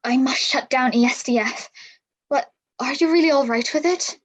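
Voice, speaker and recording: An earnest young heroine of a sci-fi stage play, acted by a woman. Her voice is extremely high, with a troubled, sincere delivery. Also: normal speed, dull audio. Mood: hesitant. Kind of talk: reader's theatre acting.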